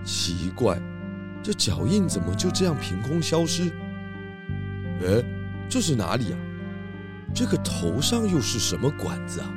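A man's voice: medium pitch, huge pitch swings, a little slow, long pauses, over loud music. A man playing an inquisitive, decisive pig detective who muses and questions aloud in a children's audio drama. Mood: puzzled, alert. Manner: musing, questioning, hesitating aloud.

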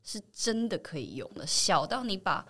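A woman's voice: mid-range voice, big pitch swings, moderate pace, pushed and bright. A woman with a Taiwanese Mandarin accent, an actress guesting on a show and telling anecdotes in casual chat.

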